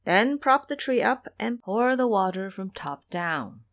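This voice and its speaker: Serene, deliberate goddess acted by a woman. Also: mid-range voice, animated. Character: serene, authoritative female bodhisattva deity.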